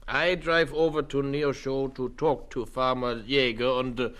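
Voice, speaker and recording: A performer playing a stern, gruff older male farmer with a German accent and a low, husky voice, grumbling and flustered in a radio play. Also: fairly even intonation, measured pace, a bit bright. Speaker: farmer Moses.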